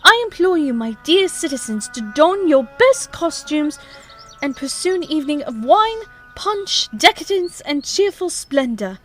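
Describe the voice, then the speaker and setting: A woman's voice: high voice, sing-song, a little slow, fairly bright tone, faint music behind, full character voice. A woman playing a prim, genteel young wife who speaks formally and teases her husband in an amateur audio drama.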